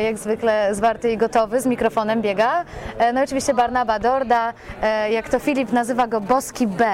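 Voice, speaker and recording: A woman giving a spontaneous interview as a producer, effusive and rambling, often correcting herself mid-sentence. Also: on the high side. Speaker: radio play producer being interviewed. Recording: busy crowd chatter behind voice. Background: crowd at a public event.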